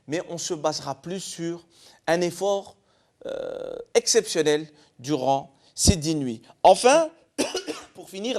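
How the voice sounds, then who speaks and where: A man's voice: sits high, darkish tone. A man from eastern France giving an explanatory religious talk as a panel guest, in a didactic way with rhetorical questions.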